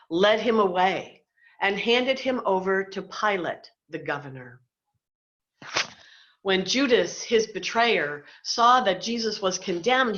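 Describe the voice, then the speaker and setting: A woman's voice: medium pitch, a bit bright. A middle-aged woman pastor giving a dramatic scripture reading over a video call. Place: indoor room, on a video call. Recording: dull lo-fi video call audio.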